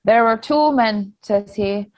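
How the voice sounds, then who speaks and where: A woman playing a gentle young peasant girl, a younger sister, in a stage play. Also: on the high side, slow, dry recording. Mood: anxious. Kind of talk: stage drama read as virtual play.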